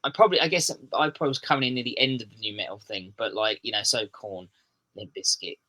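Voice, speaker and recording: English man, podcast guest, loose chatty storyteller, bright voice. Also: lowish voice, fast. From Kent, southeast England. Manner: rambling, with many fillers and restarts. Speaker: musician guest. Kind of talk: casual chat.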